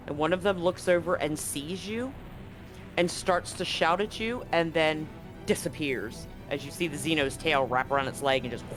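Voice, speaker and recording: A man working as game master, improvising narration for a tabletop roleplaying game in a halting, deliberate way. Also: a little high, a bit bright. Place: live-streamed game session.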